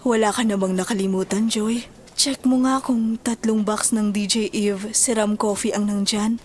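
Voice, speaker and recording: A woman's voice: mid-range voice, fairly even intonation, normal speed, close to an everyday voice. A woman playing a caring, forgiving middle-aged stepmother with a Filipino accent in an audio drama. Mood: mild concern. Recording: music bed behind the voice.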